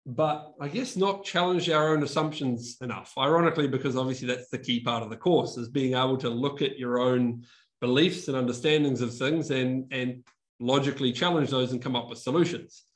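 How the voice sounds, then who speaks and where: A young man with a New Zealand accent that has picked up Australian tones presents over a webcam from an indoor room. He talks in a casual, chatty way, often restarting his words and laughing at himself. His voice sits at an ordinary, middle pitch. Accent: New Zealand, softened by years in Australia. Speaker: conference speaker. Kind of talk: online conference presentation. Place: room with a whiteboard and brick wall, indoors. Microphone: video call webcam.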